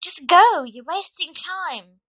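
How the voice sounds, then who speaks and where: A woman acts as an anxious young witch at school, bookish and earnest. Her voice is high and bright, made for an audio drama. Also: lively intonation, slow pace, full character voice. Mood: panicked.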